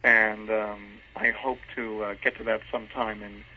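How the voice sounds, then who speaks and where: A man's voice: fairly low pitch, level tone, normal speed, slight background noise. Man in a dull-sounding phone interview. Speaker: interview guest, radio drama producer. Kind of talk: casual interview talk.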